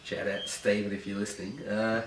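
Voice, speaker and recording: Aussie guy with a nasal voice, bit low, rambly, echoey room, mic kinda far. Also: little pitch movement, fairly quick. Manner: rambling, joking, lots of fillers. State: stuffy from hay fever. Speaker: band bassist. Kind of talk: casual interview chat. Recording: echoey room, distant mic, faint noise.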